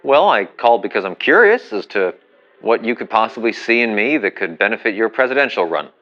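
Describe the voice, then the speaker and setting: An actor playing a hesitant, curious, deferential working-class 1950s stagehand speaking haltingly over a telephone. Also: male voice, fairly low pitch, very animated. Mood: wary, curious. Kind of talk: audio drama acting, telephone dialogue. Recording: dull telephone-style sound, faint music.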